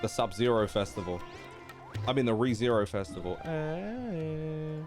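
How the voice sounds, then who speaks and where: A man's voice: mid-range voice, lively intonation, measured pace. A man giving casual, slangy live stream reactions to a video game and chatting to viewers. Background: game music.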